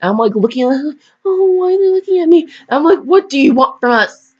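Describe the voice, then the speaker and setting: A woman's voice: high voice, expressive, fast, darkish tone. A woman casually reading fan fiction aloud with slangy, blunt, digressive commentary and mock scolding. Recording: dull, lo-fi home recording.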